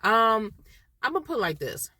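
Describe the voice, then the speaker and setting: A woman's voice: fairly high pitch, big pitch swings, normal speed, bright, forceful tone, husky. A woman with a stuffy nose from a cold, chatting casually and laughing often while reviewing a reality TV episode. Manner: chatty, laughs often, loose slangy talk. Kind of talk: casual chat.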